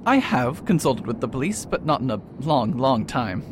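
A man's voice: medium pitch, moderate pace, lightly acted. A man playing a proud, faded detective who talks pompously and hesitates with filler sounds, in an amateur radio drama. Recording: noisy recording with steady hiss.

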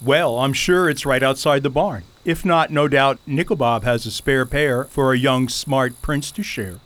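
A man's voice: mid-range voice, unhurried, fairly bright tone, no echo, natural voice. A man playing a pompous, foppish goat king in a radio play, with florid, theatrical, wordy speech. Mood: pompous, dismissive.

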